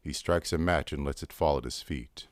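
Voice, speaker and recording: A man's voice: a bass voice, normal speed. A man reading stage directions as scripted, understated narration.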